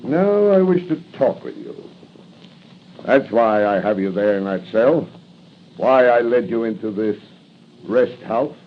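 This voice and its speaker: Masked killer for 1940s radio serial: menacing, gloating, theatrical, on a dull, slightly noisy old recording. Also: male voice, fairly low pitch, expressive, measured pace, pauses often. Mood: gloating menace. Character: sinister, gloating masked killer, agent of a crime ring.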